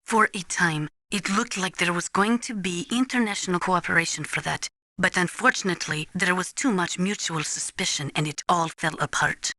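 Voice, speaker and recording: Bright-voiced female nuclear analyst. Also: on the low side, no echo. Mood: grave, regretful. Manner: measured, precise, didactic. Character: earnest female nuclear weapons analyst advising a soldier. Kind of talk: scripted expository video game voice acting.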